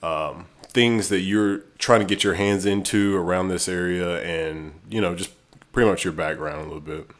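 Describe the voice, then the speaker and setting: A man's voice: low-pitched, fairly even intonation, normal speed. A man in his late twenties hosting a podcast, asking questions in a casual, hesitant way with many fillers. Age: 20s. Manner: easygoing, hesitant, lots of fillers and laughs. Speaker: podcast host. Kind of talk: casual interview.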